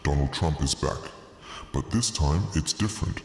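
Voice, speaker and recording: A man's recorded voice played back with a pitch-shifted robotic effect. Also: animated, normal speed, echoey room, big-room sound.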